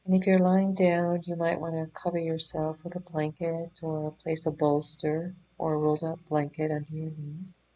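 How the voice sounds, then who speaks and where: A woman leading a guided meditation in a gentle, soothing, unhurried manner, leaving pauses between phrases. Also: deep voice, flat delivery, low-quality recording. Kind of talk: guided body scan meditation.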